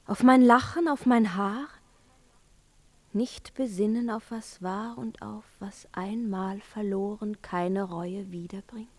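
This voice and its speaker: A woman playing a seductive witch and spurned lover in a theatrical verse radio play, breathy and often whispering. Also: medium pitch, fairly even intonation, a little mellow. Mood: wounded pleading. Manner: slow, incantatory, yearning delivery. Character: seductive witch, the emperor's jilted lover, pleading and taunting.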